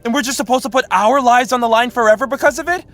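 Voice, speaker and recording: A man's voice: very high-pitched, quick pace, fairly bright tone, soft background music. A man playing an exasperated, self-important teenage class president in an audio drama, indignant and incredulous.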